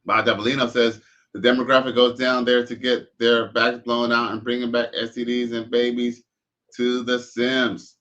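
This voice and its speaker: Crude joking man with a bright voice. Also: mid-range voice, flat delivery, normal speed. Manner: crude, joking, loose slang. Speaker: live stream host. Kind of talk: casual reaction commentary. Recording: dull lo-fi stream audio.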